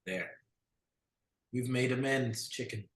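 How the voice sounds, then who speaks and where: An actor playing a soft-spoken, whimsical younger shepherd brother in a staged play reading. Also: male voice, mid-range voice, slow pace, roomy sound, natural voice. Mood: wary. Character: dreamy, instinct-driven younger shepherd brother.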